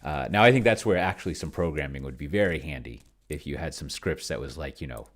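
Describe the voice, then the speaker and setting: A man's voice: a bass voice, lively intonation, fast. A man giving casual, rambling commentary on a solo tabletop game, thinking aloud and muttering to himself as he types. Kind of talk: casual screen-recording commentary, thinking aloud while typing. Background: keyboard typing.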